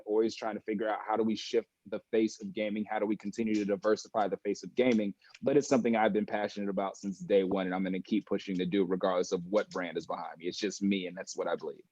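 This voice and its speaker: Young male guest, lowish voice, candid and lively; dry, muffled lo-fi audio. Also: dry recording. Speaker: guest, esports industry professional. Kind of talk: conversational interview answers.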